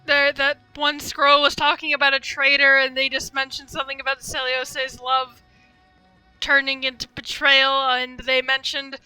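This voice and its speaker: A woman's voice: sits high, completely flat delivery, normal speed, pushed and bright, no echo, soft background music, low-quality recording. A young woman streaming a game, chatting casually and rambling through asides as she comments.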